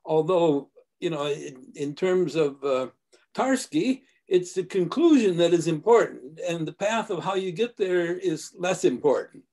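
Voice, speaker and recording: An older man in a video call discussion, explaining ideas slowly with hesitations from his home study. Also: a little high, a little mellow. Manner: measured, hesitant, lecturing. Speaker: discussion group member. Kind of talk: casual academic discussion.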